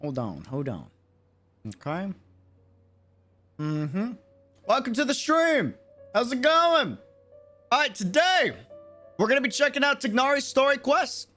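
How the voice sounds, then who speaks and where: A man's voice: extremely high pitch, very animated, measured pace, pauses often, bright, forceful tone, soft background music. A man talking casually and jokily in slang as he livestreams a video game. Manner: slangy, informal, jokey. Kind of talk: casual livestream chat and reactions.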